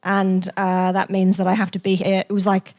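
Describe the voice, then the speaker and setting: A woman telling an anecdote to an audience in a casual, animated, self-deprecating way. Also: mid-range voice, normal speed. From raised in Australia. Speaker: guest journalist and author.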